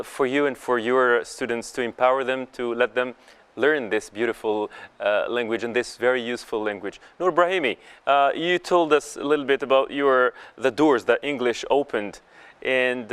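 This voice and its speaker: Halting male host with a clear voice. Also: medium pitch, fairly bright tone. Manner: hesitant, halting phrasing. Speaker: host of a panel show. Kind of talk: moderating a studio discussion. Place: TV studio.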